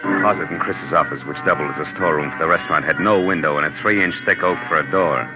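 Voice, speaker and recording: An actor playing a tough, cynical, wisecracking private detective in 1940s radio noir style, clipped and sardonic. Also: male voice, extremely low pitch, fast talker. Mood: tense narration. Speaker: lead actor and narrator of a radio detective drama. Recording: old 1940s radio recording with music.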